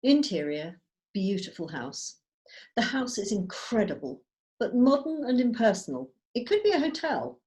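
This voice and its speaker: A woman's voice: a little high, sing-song, moderate pace, darkish tone. A woman reading scripted stage directions as narrator over a video call.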